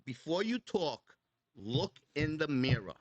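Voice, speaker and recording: A man's voice: fairly high pitch, big pitch swings, a little slow, husky, no echo, lo-fi sound. Blunt, swearing man in his sixties. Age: 60s. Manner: blunt, streetwise, swears freely; defiant, preachy toward critics. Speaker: custom chopper owner and builder. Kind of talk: casual interview chat.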